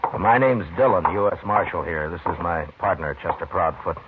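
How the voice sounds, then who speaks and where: An American actor playing a stern, weary, plain-spoken frontier marshal in a 1950s radio western, with a blunt, dry manner. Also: male voice, low-pitched, lively intonation, a little fast. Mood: calm, probing. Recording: noisy, phone-like 1950s radio broadcast.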